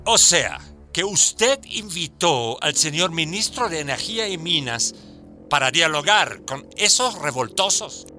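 A man's voice: fairly high pitch, very animated, unhurried, over music. An actor playing an arrogant, domineering male company manager in a radio drama. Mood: contemptuous. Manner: contemptuous, domineering.

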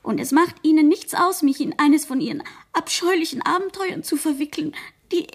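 A woman's voice: high voice, normal speed. An actress playing an outspoken, proud teenage girl in a German radio play, speaking earnestly and frankly. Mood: outraged, hurt.

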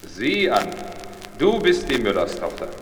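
A man's voice: medium pitch, sing-song, a little slow, slight background noise. A man playing a haughty, gold-greedy king in a fairy-tale radio play, imperious and condescending, drawing out his exclamations. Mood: commanding.

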